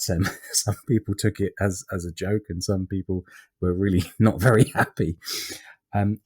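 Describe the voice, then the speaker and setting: British man, podcast host, very low voice, husky and croaky from a cold, rambling chat, laughs a lot. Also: darkish tone. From Britain. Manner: rambling, laughs often, many fillers.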